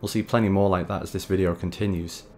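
A man hosting a video essay, reading scripted narration in a measured, wry, conversational way. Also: deep voice, fairly even intonation, warm tone, a little hiss or hum. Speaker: host and narrator of a video series. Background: background music.

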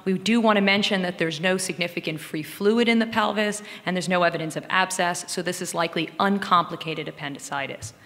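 Low-voiced woman lecturing in a reverberant room. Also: fairly bright tone. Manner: explanatory, talking through slides and cases. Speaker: radiologist lecturer. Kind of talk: case-based medical lecture.

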